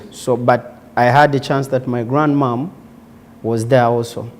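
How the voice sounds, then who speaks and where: A man's voice: medium pitch, fairly even intonation, a little slow, a little hiss or hum. A man in his twenties with a Ugandan accent, telling his personal story conversationally as an interview guest. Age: 20s. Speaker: interview guest, former footballer.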